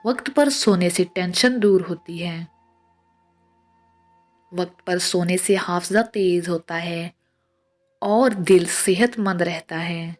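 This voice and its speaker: A woman reads scripted tips in a measured, instructional way, as a narrator of short pieces of advice. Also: on the low side. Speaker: narrator of household and health tips. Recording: voice-over with music behind. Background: music.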